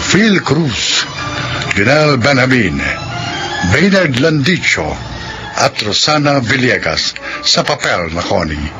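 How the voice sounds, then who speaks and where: A man with a Filipino accent reading scripted narration as a radio drama announcer, solemn and formal. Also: medium pitch. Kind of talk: scripted narration and closing credits.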